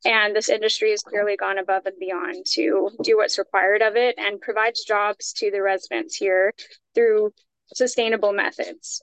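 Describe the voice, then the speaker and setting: A woman's voice: medium pitch, clean tone, no room sound, poor audio. A woman from Hawaii speaks up on a video call, arguing her point firmly and steadily, with an occasional "um." The sound is dry and close, with no room in it. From Kailua-Kona, Hawaii. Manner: firm, argumentative, with some filler ums. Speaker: public commenter. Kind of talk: prepared public testimony.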